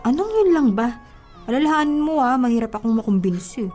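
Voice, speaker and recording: A woman acts as a coy young provincial woman being courted. Her voice is mellow and teasing, in a radio-style romance with music underneath. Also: fairly high pitch, very animated, a little fast. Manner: coy, teasing, hesitant when surprised.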